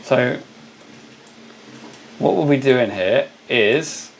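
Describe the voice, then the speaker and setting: A hoarse man hosting a casual live stream, chatting and greeting viewers by name. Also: medium pitch, lively intonation, very slow, slight background noise. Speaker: livestream host.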